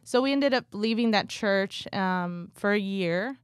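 A woman in her thirties from Guatemala, raised in California, talking as a guest in a casual interview, soft and hesitant with many pauses. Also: mid-range voice, clean tone, no echo, clean studio sound.

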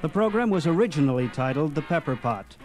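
A man's voice: fairly high pitch, lively intonation, quick pace, darkish tone, soft background music. A man hosting a radio history program in scripted narration.

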